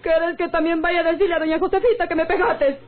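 Casting: a defiant ranch wife, rural northern Mexican accent, extremely high voice, tinny vintage radio serial. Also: female voice, flat delivery, quick pace, a little hiss or hum. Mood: tearful, indignant. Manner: plaintive, rustic folksy speech. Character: defiant, aggrieved rural peasant wife, sharp-tongued. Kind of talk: old Mexican radio drama acting. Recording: tinny, band-limited old radio serial.

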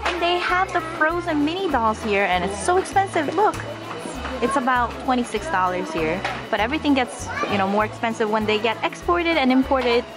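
A young woman with a hoarse voice, vlogging with a handheld camera as she browses toy aisles inside a store and gushes over what she finds. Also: on the high side, moderate pace. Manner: excitable, gasping, gushing over finds. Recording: music playing underneath.

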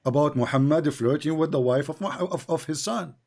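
A man hosting a heated call-in debate, his voice worn from shouting, talking in a mocking, insistent way and repeating his demands. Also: fairly high pitch, brisk, gravelly. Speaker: debate show host.

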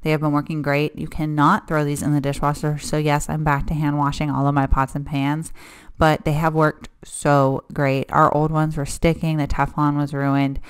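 A woman's voice: low-pitched, level tone, moderate pace, echoey room. A woman narrating her cleaning vlog in a casual, chatty way, often saying "like". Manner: chatty, lots of "like" fillers. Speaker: cleaning vlogger.

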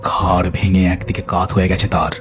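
A man's voice: low-pitched, monotone, moderate pace. A man narrating a scripted ghost story for a cartoon as its storyteller. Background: music.

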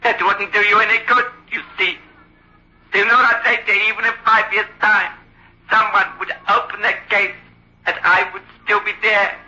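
An actor playing a sinister living ventriloquist's dummy in an old radio horror play, defiant, needling and menacing. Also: male voice, sits high, level tone, normal speed, bright, forceful tone. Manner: taunting, needling. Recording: dull lo-fi old radio recording.

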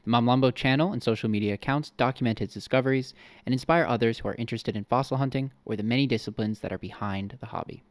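A man's voice: mid-range voice, fast talker, no room sound. A young man hosting a podcast, reading his intro and asking questions in a polite, self-deprecating, slightly hesitant way. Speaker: podcast host. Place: home room. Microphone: studio mic on a boom arm.

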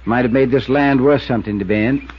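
A man's voice: mid-range voice, brisk, slightly rough, lightly acted. An actor playing a folksy, dry-witted small-town lawman with a rural American accent and a laconic, hesitant delivery in a 1950s radio drama. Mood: dry, plain-spoken. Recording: dull, noisy 1950s radio recording.

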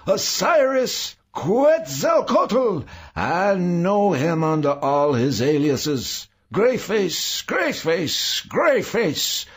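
A man's voice: deep voice, slow pace, husky, heavily acted. A man voicing an anguished old man in an audiobook, ranting and crying out through tears. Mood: hysterical rage. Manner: shrieking, sobbing, ranting. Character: anguished weeping old man in hysterical revelation. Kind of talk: audiobook character acting.

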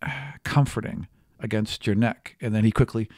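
Low-pitched man narrating a tabletop game. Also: fairly even intonation, moderate pace, darkish tone, breathy edge, dry recording, clean studio sound. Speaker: game master. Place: small indoor podcast studio. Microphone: close stand microphone.

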